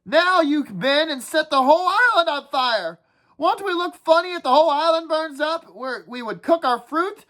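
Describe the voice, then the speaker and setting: A woman reading a book aloud and voicing a whiny, anxious boy with glasses in a high, complaining voice. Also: lively intonation, normal speed, fairly bright tone. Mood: scolding. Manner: shrill, complaining, frantic. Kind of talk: storybook read-aloud.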